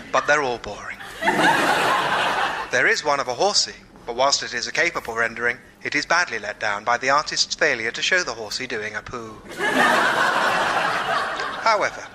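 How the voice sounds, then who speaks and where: Man playing an animated gallery guide over loud noise. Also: medium pitch, natural voice. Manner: measured, formal, childishly enthusiastic. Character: earnest museum audio guide with a childish mind. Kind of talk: mock gallery narration.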